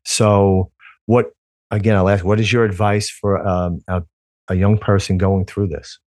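A man with a North Jersey accent hosting a podcast, asking questions haltingly and searching for his words. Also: sits low, little pitch movement, a little mellow, no echo. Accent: American, North Jersey. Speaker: podcast host. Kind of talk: casual interview talk.

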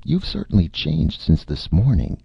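A man voicing a feisty, bossy woman in a story, a sharp-tongued agent who scolds briskly and emphatically. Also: low voice, huge pitch swings, gravelly, husky, no echo, dull audio, pitched above the performer's own voice, full character voice. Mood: taunting.

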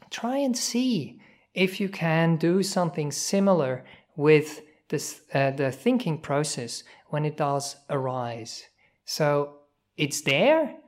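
A man from Switzerland, a Buddhist monk leading a meditation by telling a relaxed personal story, hesitant, with many fillers and soft chuckles. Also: fairly high pitch.

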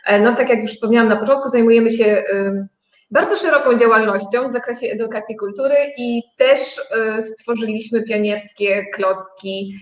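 The recording is noticeably echoey and a little dull. In it a woman with a fairly high voice explains things conversationally, as a guest in an interview. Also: steady tone, normal speed. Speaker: interview guest, business owner. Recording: dull, roomy, echoing sound.

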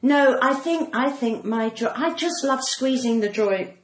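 A woman's voice: high-pitched, expressive, a little slow. Cut-glass Englishwoman in her sixties, echoey room. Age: 60s. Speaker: comedian, podcast guest. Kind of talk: casual interview chat. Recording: roomy echo, background noise, clicks.

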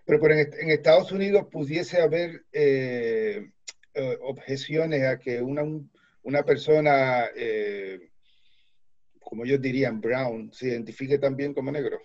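A man interviewing as a journalist, halting as he searches for words. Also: medium pitch, a little mellow, lo-fi sound. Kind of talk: interview questioning.

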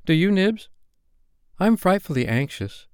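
A man voicing excitable little boys in a bedtime storybook, eager, boisterous and childlike. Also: high voice, unhurried, clear, resonant tone, no echo, clean studio sound, pitched above the performer's own voice.

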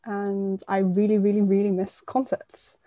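A woman in her twenties with an English accent, a climate activist speaking as a guest in a casual interview. She speaks in a measured, thoughtful way, with frequent fillers. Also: medium pitch, flat delivery, warm tone, no room sound. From Sweden. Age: 20s.

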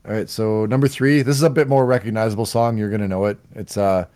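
A man co-hosting a music podcast, presenting a countdown of songs in casual chat, relaxed and laughing now and then. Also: fairly low pitch, quick pace. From Canada. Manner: relaxed, laughing, slight stumbles over words.

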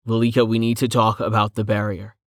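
A woman's voice: extremely low pitch, level tone, a little fast, dry recording, clean studio sound. A woman voicing an earnest, mild-mannered man in an audiobook, cautious and questioning.

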